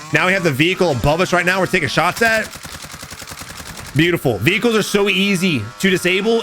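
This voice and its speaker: A man casually commenting over game footage, animated and profane, talking straight to his viewers, his voice going a little hoarse. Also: sits high, slight background noise. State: losing his voice. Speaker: gaming commentator.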